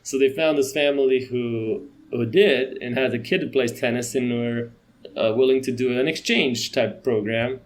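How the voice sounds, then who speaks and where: A man in his thirties from Romania chats as a podcast guest, talking in quick bursts with restarts and small laughs. His voice sits in a middle register. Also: medium pitch, fairly even intonation. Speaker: podcast guest, company CEO. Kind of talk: casual interview chat.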